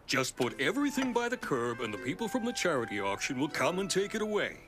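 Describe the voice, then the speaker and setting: A man's voice: a little high, sing-song, quick pace, music in the background. A man voicing an earnest, nostalgic suburban dad in a children's cartoon. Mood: persuasive.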